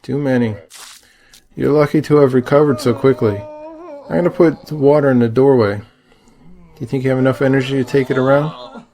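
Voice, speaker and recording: Gravelly, mellow camp doctor played by a man. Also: mid-range voice, normal speed, pauses often, close to an everyday voice. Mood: weary, concerned. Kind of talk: audio drama acting.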